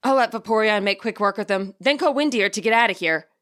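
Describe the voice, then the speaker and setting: A woman's voice: mid-range voice, expressive, fast talker, a bit bright, lightly acted. A woman voicing a stressed, weary male trainer in a story, with a curt and skeptical manner. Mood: exasperated.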